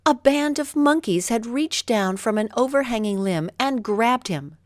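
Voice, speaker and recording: A woman reading a scripted children's bedtime story with expressive, careful phrasing. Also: on the high side, measured pace, no room sound, clean studio sound. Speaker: storybook narrator.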